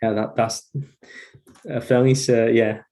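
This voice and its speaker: A man with a Chinese accent, a native Chinese speaker, interpreting informally in a halting, hesitant manner. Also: mid-range voice, little pitch movement, mellow. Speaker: volunteer interpreter.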